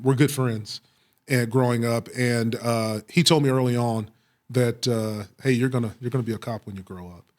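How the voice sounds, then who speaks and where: A middle-aged American man from Texas answering interview questions with personal stories, speaking reflectively with frequent pauses and repeated phrases. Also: medium pitch. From Waco, Texas, United States. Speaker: police chief, interview guest.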